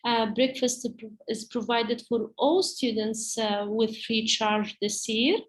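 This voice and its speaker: Higher-voiced woman with an Arabic accent. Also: level tone, unhurried. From Palestine. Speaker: teacher. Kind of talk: prepared presentation to parents.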